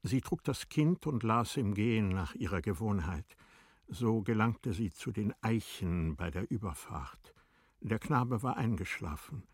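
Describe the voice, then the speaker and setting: Man reading classic novel narration for radio, raspy lowish voice, measured, dry studio sound. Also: lively intonation, dry recording. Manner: measured, formal storytelling. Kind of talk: scripted literary narration for radio drama.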